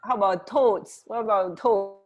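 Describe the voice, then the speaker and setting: A woman's voice: mid-range voice, measured pace, highs cut off. A woman co-hosting a radio show in casual, unscripted chat, musing and often trailing off mid-thought. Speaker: radio co-host.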